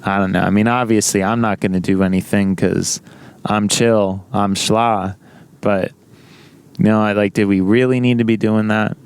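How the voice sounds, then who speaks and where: A young man with a naturally loud voice, rambling casually to the camera with many restarts and self-corrections. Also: fairly low pitch, steady tone, normal speed, clean tone, no echo. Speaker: vlogger.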